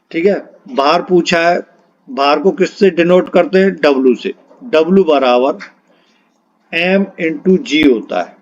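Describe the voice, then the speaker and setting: A man's voice: a little high, highs cut off. A man teaching a step-by-step problem-solving lesson, posing questions and answering them himself, often repeating key phrases. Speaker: physics teacher for exam preparation.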